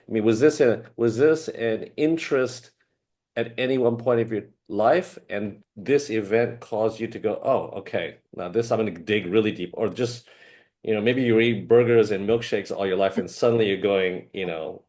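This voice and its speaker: A man's voice: lowish voice, a little mellow. A man hosting an interview, asking long, rambling questions with many fillers. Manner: rambling, loosely built questions. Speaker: host. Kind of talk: casual interview talk.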